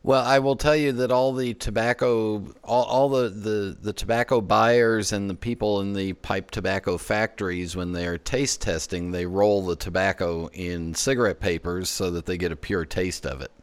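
Smooth-voiced male podcast host. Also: fairly low pitch, normal speed, few pauses. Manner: relaxed, laughs along with the guest. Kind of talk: casual interview chat.

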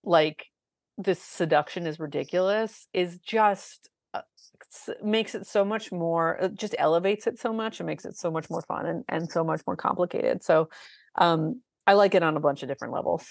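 A woman with a low voice, co-hosting a podcast, talking in an enthusiastic, chatty way with lots of fillers. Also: normal speed, gravelly, no room sound, clean studio sound. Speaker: podcast co-host. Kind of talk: casual chat about a musical.

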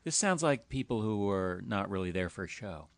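A man hosting a podcast, asking quick, joking questions in casual chat. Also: fairly low pitch, very animated, dry recording. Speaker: podcast host.

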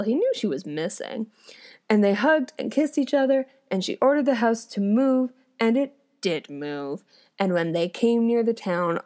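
A woman's voice: a little high, a little mellow. A woman, an actor and comedian, reading an old fairy tale aloud and breaking off into wry, joking comments. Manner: wry, amused, chatty commentary. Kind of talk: storytelling reading with joking asides.

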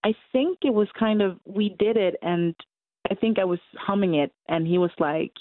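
A woman in her twenties with a Norwegian accent, a musician answering interview questions in English, often pausing to hunt for words. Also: mid-range voice, no room sound. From Norway. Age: 20s. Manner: hesitant, searching for English words. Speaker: musician guest. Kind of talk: casual interview over a call. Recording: tinny phone-like line.

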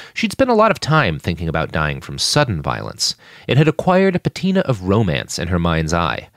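A man's voice: medium pitch, no room sound. A man reading scripted fiction as a podcast narrator, in a dramatic storytelling style, recorded up close.

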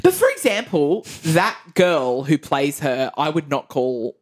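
A woman's voice: low-pitched, sing-song, measured pace. A woman co-hosting a casual chat about audition songs, laughing often and trailing off mid-sentence. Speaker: podcast co-host, singer.

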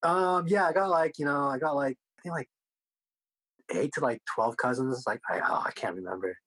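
A man's voice: mid-range voice, normal speed, a bit bright. A man whose first language is Korean, a guest speaking loosely and jokingly, full of "like" and "you know". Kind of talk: casual interview chat.

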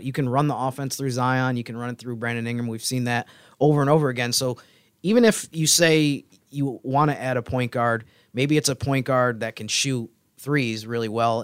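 Man, medium pitch, explanatory podcast panelist, conversational sports analysis, reasoned delivery, dry close recording. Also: no echo.

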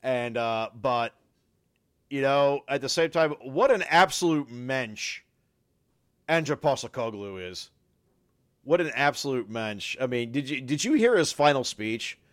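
Raspy man with a cold, dry sound. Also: medium pitch, lively intonation, unhurried, no room sound. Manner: hesitant, repeats words, laughs often. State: sick with a cold. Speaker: podcast co-host. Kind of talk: casual football chat.

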